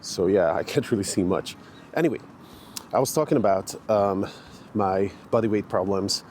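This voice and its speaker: Man in his forties, low voice. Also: moderate pace, a little mellow, a little hiss or hum. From Hungary. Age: 40s. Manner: rambling, many fillers and restarts. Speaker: fitness vlogger. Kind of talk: casual walking vlog. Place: outdoor park in the morning.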